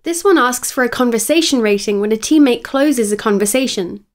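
A woman presenting a software tutorial in scripted instructional narration, explaining clearly step by step. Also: on the high side, fairly quick.

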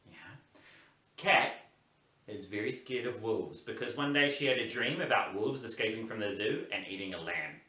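A man's voice: lowish voice, animated, normal speed, pushed and bright, voice pushed down. A young man playing a mischievous, bored big brother in a children's story, exaggerated and conspiratorial. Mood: scheming, excited. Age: young adult. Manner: exaggerated, conspiratorial, talking to viewers. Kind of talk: playful children's storytelling performance. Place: plain indoor room. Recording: roomy sound, off the mic.

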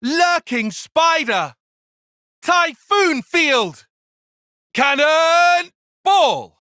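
A man's voice: very high-pitched, lively intonation, very slow, pushed and bright, no room sound, studio quality, heavily acted. An actor voicing an earnest, calculating and proud young male tactician in a video game, speaking formally and precisely with intensity. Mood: battle shouting. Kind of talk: video game battle voice lines.

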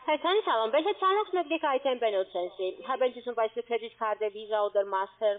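A woman whose first language is not German, pitching an investment over the phone in halting, broken phrases. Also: animated. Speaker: scam call center agent. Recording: tinny phone line.

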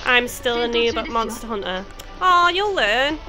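A woman's voice: on the high side, lively intonation, unhurried, loud music behind the voice, poor audio. A young woman from near Chester in England, chatting casually with viewers while streaming a game. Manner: chatty, laughs easily, answers chat. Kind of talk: casual chat with live chat viewers.

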